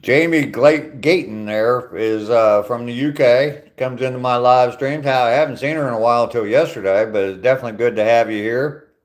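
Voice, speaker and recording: A man's voice: mid-range voice, moderate pace. A man in his sixties on a live stream, reading out viewer comments and answering them in a chatty, easygoing way with dry humor, talking into his phone while out on a walk. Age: 60s. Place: outdoors on a walk.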